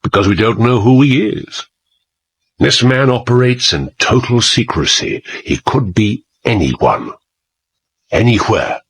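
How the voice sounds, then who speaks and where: Husky spymaster. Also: male voice, mid-range voice, lively intonation, measured pace, a bit bright, exaggerated voice. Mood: ominous. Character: earnest, grave British intelligence chief. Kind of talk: radio drama acting.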